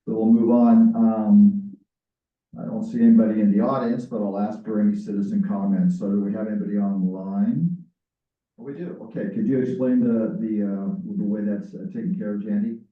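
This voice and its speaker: A man chairing a library board meeting, speaking haltingly with frequent filler sounds. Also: fairly low pitch, steady tone. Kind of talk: running a public board meeting. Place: council meeting room. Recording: echoey chamber, distant tinny mic.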